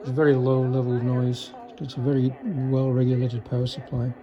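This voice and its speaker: A man with a soft-edged, mellow voice and medium pitch talks through a hands-on electronics demo. He is hesitant and understated, explaining technical details casually, without a script. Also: completely flat delivery, moderate pace, highs cut off. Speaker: electronics hobbyist demonstrating a repaired vintage car radio. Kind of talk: casual unscripted demonstration.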